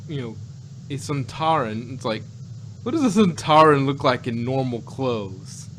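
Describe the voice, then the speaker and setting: A man co-hosting a casual chat, rambling and halting as he restarts and repeats his phrases. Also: mid-range voice, big pitch swings, a bit bright. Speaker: podcast co-host. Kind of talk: casual chat, reviewing sci-fi. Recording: noisy home recording with hum.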